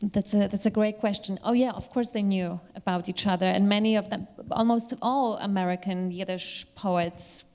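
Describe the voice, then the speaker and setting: A woman panel speaker answering audience questions in a halting, explanatory way. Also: mid-range voice, fairly even intonation, darkish tone. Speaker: panel speaker, Yiddish literature teacher. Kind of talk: live question-and-answer talk.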